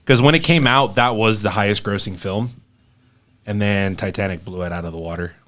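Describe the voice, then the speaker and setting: A man's voice: lowish voice, a little fast, fairly bright tone. A man co-hosting a podcast, chatting informally and playfully with friends about films. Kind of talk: casual conversational banter.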